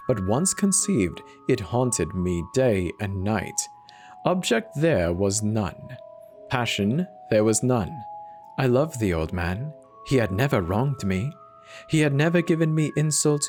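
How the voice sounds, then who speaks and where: A man giving a theatrical, dramatic horror reading, swinging from hushed murmurs to manic highs, with faint music behind him. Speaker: narrator. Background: faint instrumental music.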